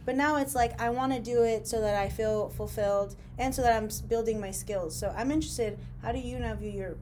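A woman's voice: fairly high pitch, a little fast, a little mellow, slight background noise. A woman hosting a casual interview in a studio, asking curious, rambling questions that are full of "like". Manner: chatty, curious, says "like" a lot. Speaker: podcast host interviewing a musician. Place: music studio room.

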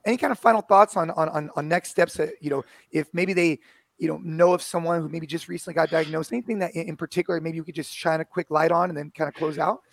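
Male podcast host, hoarse and gravelly, quick and a bit higher-pitched, dry close sound. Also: dry recording. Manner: halting, many fillers and restarts. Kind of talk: casual podcast chat.

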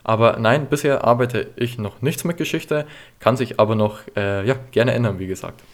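A nineteen-year-old man hosting a history podcast, answering listener questions in a relaxed, chatty way with frequent fillers. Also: mid-range voice, moderate pace.

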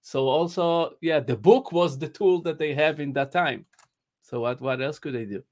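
Male panelist, tentative and hesitant, self-deprecating; slightly high voice. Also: normal speed, darkish tone. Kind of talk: casual online panel discussion.

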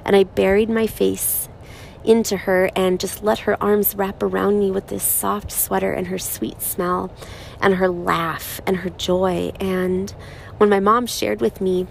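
A woman in her thirties speaking slowly and softly in a reflective personal monologue, her voice raspy from crying. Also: mid-range voice, slight background noise, rough recording. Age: 30s. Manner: slow, soft-spoken, halting with pauses.

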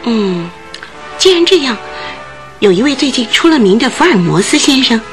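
A woman's voice: fairly high pitch, sing-song, normal speed, soft background music. An actress in a radio drama playing a nervous, talkative landlady who tells things in earnest detail. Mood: concerned.

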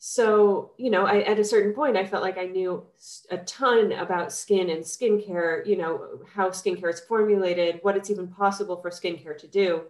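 A woman's voice: medium pitch, fairly even intonation, moderate pace, hardly any pauses, a little mellow, roomy sound. A young woman with a cold and a slightly nasal voice, chatting enthusiastically as a guest on a podcast, with lots of fillers. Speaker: skincare founder, guest. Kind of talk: casual podcast interview.

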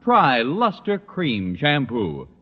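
A man's voice: mid-range voice, wildly swooping pitch, takes it slowly, a little hiss or hum. A man announcing and advertising on 1940s radio in a polished, emphatic scripted style. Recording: muffled old radio, long reverb, music behind.

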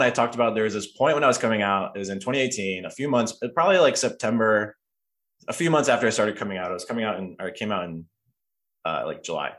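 Rambling man with a lowish voice. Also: a bit bright. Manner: rambling, self-interrupting. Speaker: podcast host. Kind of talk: casual personal chat.

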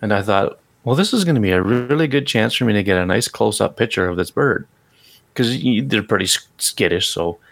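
A middle-aged man with a low, gravelly voice tells stories in an easygoing podcast chat. He hesitates often, leaning on fillers while he gathers his thoughts, and the fry sits under most of his words. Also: animated, a little fast, a bit bright. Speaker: podcast co-host. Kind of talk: casual chat.

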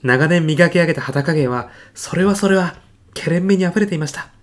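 A man playing an earnest physicist in an audio comedy sketch, speaking formally and reverently of his mentor with deadpan, grandiose solemnity. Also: on the high side, fairly quick, close to an everyday voice. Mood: proud, impassioned. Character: earnest, reverent male physicist, devoted protégé of late professor.

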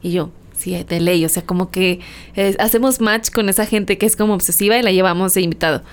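A woman talks easily as a podcast guest, full of slang and quick agreement. Her voice sits at an ordinary pitch. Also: fairly even intonation. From Mexico. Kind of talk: casual podcast chat.

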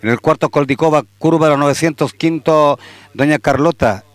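A man with a Chilean accent calling horse races live, steady through the field and louder and higher at the finish. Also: normal speed, dry recording. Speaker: horse racing announcer. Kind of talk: live race commentary.

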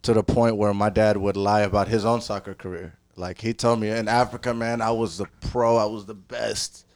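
A man's voice: lowish voice, level tone, moderate pace, a little mellow. A young man from Ethiopia chatting casually, playful and joking, laughing often. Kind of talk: casual chat.

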